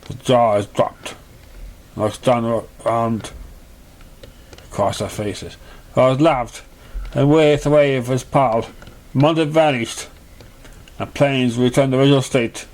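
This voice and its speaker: A man narrating a fantasy fan story in an amateur read-aloud style, halting and stumbling over words. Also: mid-range voice, fairly even intonation, a little mellow.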